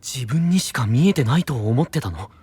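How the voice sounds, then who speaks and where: A man playing a caring, earnest young knight and protective friend, gently reassuring, in a fantasy audio drama. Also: mid-range voice, animated, normal speed, breathy edge, dry recording. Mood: concerned.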